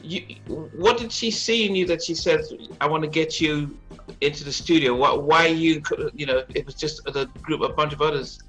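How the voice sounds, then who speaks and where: Guy hosting a chill interview, laughs a lot, kinda higher voice, stumbles on questions. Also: a bit bright, soft background music, poor audio. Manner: friendly, laughs easily, halting questions. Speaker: interview host. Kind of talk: casual interview chat.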